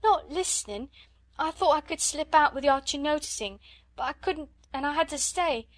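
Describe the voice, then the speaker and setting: A woman's voice: sits high, lively intonation, normal speed, no echo, pitched above the performer's own voice. A woman voicing a timid, tearful young scullery maid who pleads in a servant's dialect. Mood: frightened.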